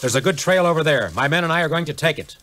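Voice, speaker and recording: A stiff, impatient army major in a 1950s adventure record. The voice is pitched somewhat high for a man, with a clipped, officious delivery. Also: animated, quick pace, a bit bright. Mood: irritated, impatient. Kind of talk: 1950s children's audio play acting.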